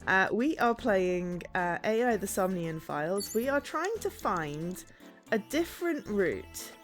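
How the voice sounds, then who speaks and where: A woman chatting as she streams a game, a bit hoarse from a sore throat, bubbly and quick to laugh. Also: medium pitch, sing-song, soft background music. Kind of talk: casual game-stream chat.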